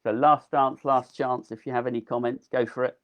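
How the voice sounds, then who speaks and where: A man's voice: mid-range voice, little pitch movement, normal speed, a bit bright. Man giving a chatty gardening talk. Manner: chatty, enthusiastic, with frequent ums. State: losing his voice. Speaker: delphinium grower giving a talk. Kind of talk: casual live online talk.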